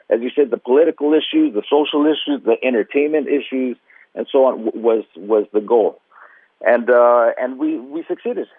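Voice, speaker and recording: Man, museum marketing director, radio interview guest, halting, lots of fillers, tinny phone line. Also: mid-range voice, dry recording. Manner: halting, many fillers and restarts.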